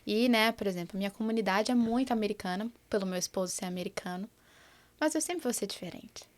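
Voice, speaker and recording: A woman's voice: mid-range voice, fast. A Brazilian woman in her thirties, speaking with a Brazilian Portuguese accent in an earnest, reflective way during a casual podcast chat. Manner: earnest and reflective, many tag questions. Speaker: guest, project founder.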